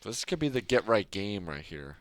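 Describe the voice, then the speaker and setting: A man's voice: on the low side, big pitch swings, normal speed, no echo. A man on a sports panel chatting casually, wavering as he weighs his picks.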